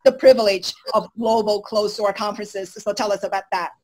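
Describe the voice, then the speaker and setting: A woman's voice: mid-range voice, steady tone, brisk, a little mellow, low-quality recording. A woman hosting and interviewing in a conversational style on an indoor video call. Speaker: interviewer, host.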